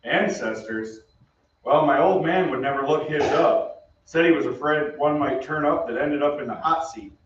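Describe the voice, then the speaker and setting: A man's voice: on the high side, moderate pace, noisy background, lightly acted. A man playing a brash young American soldier in an old-time radio play, with breezy, slangy delivery. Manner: breezy, slangy, hesitant in bursts. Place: theatre stage. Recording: very echoey stage, distant mic, muffled.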